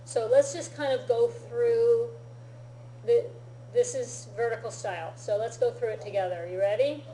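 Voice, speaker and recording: A woman teaching a Japanese lesson, explaining patiently in an unhurried, easygoing way. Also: on the high side, warm tone, slight background noise. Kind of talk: relaxed classroom-style lesson. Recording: echoey room.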